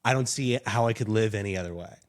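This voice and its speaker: Lowish-voiced man rambling casually. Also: fast. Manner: rambling, filler-heavy, self-deprecating. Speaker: podcast guest. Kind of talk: casual chat.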